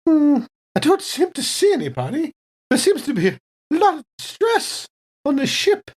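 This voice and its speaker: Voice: sits high, wildly swooping pitch, normal speed, a little mellow, pitched above the performer's own voice. A performer voicing a polite, blithely chatty passenger on a spaceship, genteel and oblivious to danger. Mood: blithe, cheerful.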